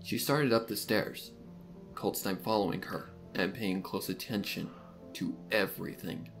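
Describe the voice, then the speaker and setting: A man reading scripted third-person fan fiction as the narrator of a dark mystery story. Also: sits low, measured pace, creaky, faint music behind.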